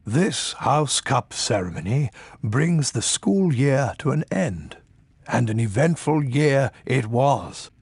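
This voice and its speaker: An actor playing a kindly, dignified old wizard headmaster in a video game, speaking with ceremony. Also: male voice, mid-range voice, expressive, breathy edge, no echo, full character voice. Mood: formal. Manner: ceremonious, measured. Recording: faint music behind the voice. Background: faint music.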